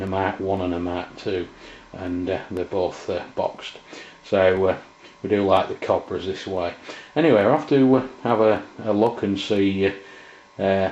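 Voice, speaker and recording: Low-voiced man, hesitant. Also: a little mellow, a little hiss or hum. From England. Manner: hesitant, halting, unscripted delivery. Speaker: presenter, radio hobbyist. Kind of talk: informal product review. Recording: muffled, roomy camera sound.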